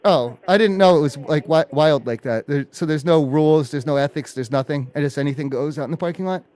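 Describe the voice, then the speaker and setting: A man hosting a live prank call show, chatting casually in a rambling, halting way and often repeating his phrases. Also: on the high side, a little mellow.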